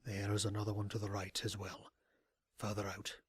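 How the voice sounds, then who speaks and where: A man voicing a cautious, nervous male pony who keeps outwardly calm, speaking in a hushed, wary way in an audio drama. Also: lowish voice, normal speed, slightly rough, dry recording. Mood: hushed, afraid. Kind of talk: audio drama character acting.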